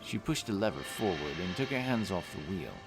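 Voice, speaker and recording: A man's voice: low-pitched, big pitch swings, a little fast, over music. A man narrating a scripted fantasy story.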